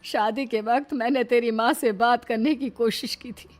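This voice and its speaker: A woman's voice: a little high, fairly even intonation, moderate pace, soft background music, voice pushed up, close to an everyday voice. A woman voicing a long-suffering, caring older mother-in-law in a cartoon family drama, earnest and plaintive. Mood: sad, caring.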